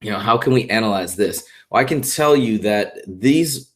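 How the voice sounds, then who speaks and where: A man with a hoarse voice, tutoring math on a live stream and working problems out loud in an upbeat, chatty way. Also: fairly low pitch, expressive, moderate pace. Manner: upbeat, chatty, thinks aloud. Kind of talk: live-streamed test walkthrough. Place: indoors at a whiteboard and screen share.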